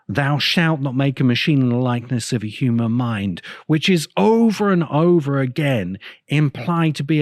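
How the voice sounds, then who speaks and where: A man hosting a podcast, delivering a reflective monologue in a grave, deliberate way with emphatic phrasing. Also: medium pitch, a little mellow, no echo, clean studio sound. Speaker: podcast host. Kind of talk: reflective monologue, video essay.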